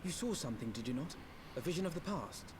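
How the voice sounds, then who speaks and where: An actor playing an articulate, skeptical young scholar in a fantasy video game, with formal, precise speech. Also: male voice, a little high, lively intonation, quick pace, background noise, lightly acted. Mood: probing. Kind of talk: dramatic video game voice acting.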